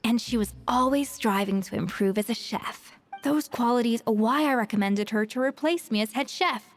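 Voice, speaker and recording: A proud young female master chef in an anime game. Her voice is fairly high, composed and articulate, with earnest, measured phrasing and light music behind it. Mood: troubled, reflective.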